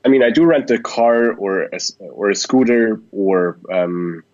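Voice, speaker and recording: A man from Switzerland, answering interview questions in a relaxed, thoughtful way with frequent restarts. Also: on the low side, unhurried, no echo, lo-fi sound. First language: Swiss German. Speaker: guest. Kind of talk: podcast interview.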